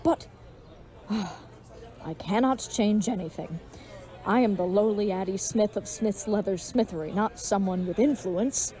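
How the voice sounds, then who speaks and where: A woman's voice: mid-range voice, measured pace, dark tone, close to an everyday voice. A woman playing a gallant, dim and earnest leathersmith turned would-be knight, with pompous, flowery speech in a comedic audio drama. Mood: troubled, earnest.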